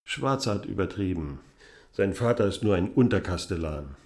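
A man's voice: low voice, lively intonation, normal speed, background noise. An actor playing a timid, deferential young village innkeeper in a literary radio drama, hesitant in his speech.

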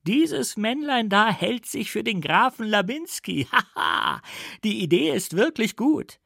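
A man's voice: high voice, big pitch swings, a little slow, creaky, sharp tone, dry recording, clean studio sound, pitched above the performer's own voice, exaggerated voice. A man voicing an insolent, mocking male servant with a sneering, derisive manner in a literary audiobook. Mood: mocking, amused.

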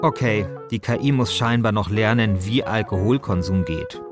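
A man's voice: lowish voice, moderate pace, music in the background. A man hosting and narrating a podcast from a conversational script with a wry, ironic manner.

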